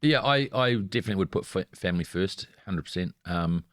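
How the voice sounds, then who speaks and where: A man co-hosting a casual podcast chat, hesitant and quick to agree. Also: sits low, expressive, a bit bright. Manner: hesitant, agreeable, lots of short assents. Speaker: podcast co-host.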